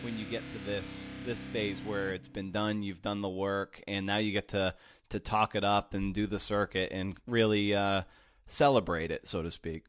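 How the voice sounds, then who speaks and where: A man's voice: on the low side, steady tone, moderate pace, faint music behind. A man hosting a podcast, chatty and conversational, with fillers and restarts. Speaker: podcast host. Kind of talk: casual interview podcast.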